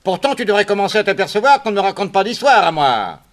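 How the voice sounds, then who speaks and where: A man's voice: sits high, sing-song, fast. A man playing a blustering, impatient police inspector in a radio drama, brusque, sarcastic and officious. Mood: gruff, suspicious. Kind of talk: 1960s French radio crime drama acting. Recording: noisy vintage radio recording, clicks.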